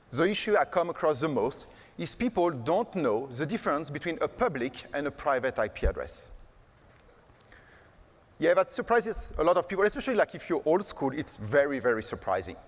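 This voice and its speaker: A man's voice: a little high, very animated, moderate pace, long pauses. A man giving a casual, joking conference keynote on stage, full of fillers like "like" and "uh". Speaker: keynote speaker. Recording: long hall reverb.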